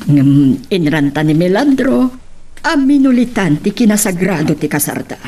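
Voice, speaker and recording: Confidante role: mature, concerned and gossipy, lowish voice, animated intonation, Ilocano accent. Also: female voice, moderate pace, slight background noise. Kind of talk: Ilocano radio drama acting.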